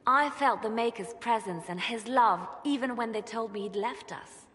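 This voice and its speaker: An actress playing a devout, idealistic reformist churchwoman in a video game, gentle and impassioned, speaking in measured, earnest oratory. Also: a little high, in a large hall, lightly acted. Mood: wistful, devout. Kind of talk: dramatic video game dialogue.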